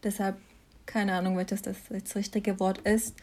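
Young woman with an even, steady pitch. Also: unhurried. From Mannheim, Germany. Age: young adult. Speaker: interview guest, science student. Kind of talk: casual conversational interview, personal account.

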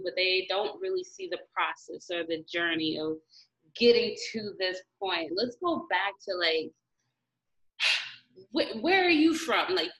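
A woman with a mid-range voice hosts a relaxed interview. She sounds upbeat and encouraging, and she peppers her speech with likes and casual slang. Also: mid-range voice, muffled recording. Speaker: interviewer, podcast host.

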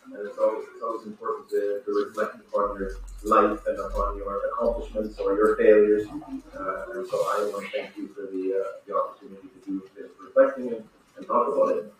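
A man's voice: fairly low pitch, monotone, a little fast, a bit bright, slight background noise. Guy talking over a call, lots of ums and restarts, sounds far off in a really echoey room. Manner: hesitant, many fillers and restarts. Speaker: hockey referee guest. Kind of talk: casual interview answers.

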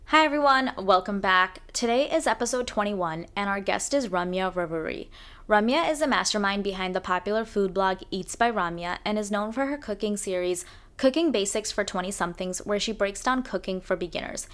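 A woman's voice: medium pitch, fairly quick, fairly bright tone. A woman speaking as a podcast host, introducing the show and interviewing a guest.

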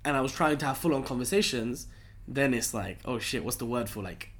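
A man's voice: mid-range voice, fairly quick. A young man from London, a guest telling stories in a casual chat, full of slang and "right?" tags. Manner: animated storyteller, lots of slang and "right?" tags.

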